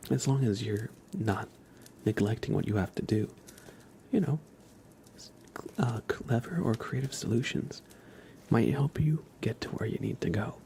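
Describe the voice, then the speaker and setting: A man with a fairly low voice hosts an ASMR livestream, talking to viewers close to the microphone. He is soft and breathy, often dropping to a whisper, with a soothing, unhurried manner. A faint ambient noise sits behind him. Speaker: livestream host.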